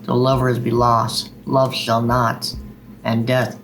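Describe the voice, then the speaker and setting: A man's voice: medium pitch, completely flat delivery, slow pace, a bit bright, a little hiss or hum, poor audio. A man hosting a video of poetry readings. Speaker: video host reading poems.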